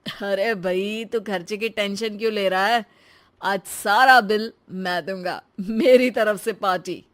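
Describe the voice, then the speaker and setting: A woman's voice: mid-range voice, unhurried, a bit bright, close to an everyday voice. A woman voicing a boastful, breezy young husband who spends freely, in a cartoon story. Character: showy, carefree husband, big spender, cartoon young man.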